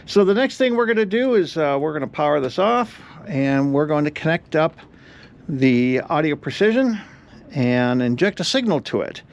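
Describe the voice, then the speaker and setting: Guy with a slightly high voice doing a casual repair video, talking through each step as he goes. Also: expressive, moderate pace, vocal fry. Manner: explains each step aloud while working. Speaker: host of an electronics repair channel. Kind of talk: casual hands-on repair walkthrough.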